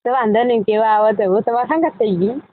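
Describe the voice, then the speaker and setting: A woman's voice: fairly high pitch, fast talker. A woman chatting casually on a phone call in short, insistent replies. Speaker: caller, admirer. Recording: tinny narrow-band phone line.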